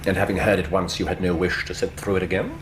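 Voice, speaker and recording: An actor playing a brisk, keen-minded English gentleman detective in a radio drama, speaking precisely with lively bursts. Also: low voice, close to an everyday voice. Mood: brisk, probing. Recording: noisy radio drama with ambient sound effects.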